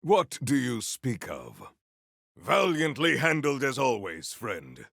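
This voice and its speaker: An actor playing a proud, honorable warrior in a video game, speaking in a stately, theatrical, formal way. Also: male voice, mid-range voice, wildly swooping pitch, slow pace, vocal fry, fairly bright tone, dry recording, studio quality, full character voice. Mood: earnest, noble. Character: proud, honorable, formal warrior, adult man, earnest and grandiose. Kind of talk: video game character voice lines.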